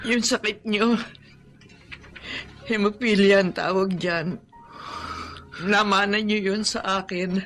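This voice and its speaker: A woman's voice: mid-range voice, fairly even intonation, slow, pauses often, fairly bright tone. An actress with a Filipino accent playing a protective, tearful, pleading mother in a radio drama. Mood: sorrowful, guilty. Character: anguished, protective Filipina mother of sick sons. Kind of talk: Tagalog radio drama acting.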